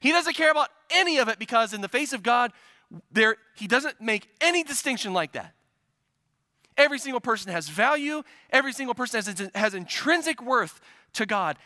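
A man's voice: extremely high pitch, pushed and bright, no room sound, studio quality. A man from the Midwest preaching a sermon, building to urgent, emphatic repetition. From American Midwest. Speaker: pastor.

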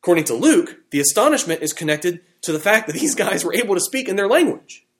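A man's voice: high voice, very animated, quick pace, a bit bright. Conversational man teaching a Bible class. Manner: conversational, thinking aloud with fillers and checking okay. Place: room with a live class.

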